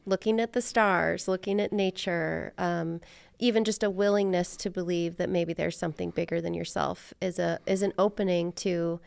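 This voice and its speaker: A woman's voice: fairly low pitch, fairly even intonation, moderate pace, creaky, smooth. A middle-aged American woman hosting a podcast, talking casually and reflectively and thinking aloud in an informal way. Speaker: podcast host; therapist.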